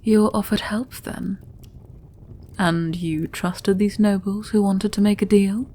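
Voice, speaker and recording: Woman acting a mellow-voiced, commanding queen mother. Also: medium pitch, level tone. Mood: stately, gracious. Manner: stately, deliberate, formal. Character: regal mature queen mother, shrewd, commanding, calculating. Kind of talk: audio roleplay fantasy acting, addressing listener.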